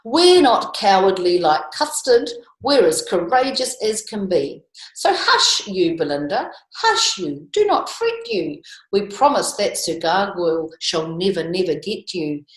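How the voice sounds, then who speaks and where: A woman's voice: big pitch swings, measured pace. A woman voicing boastful, cowardly little pet animals in a children's storybook, in a theatrical manner. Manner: theatrical, high-pitched. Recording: echoey room.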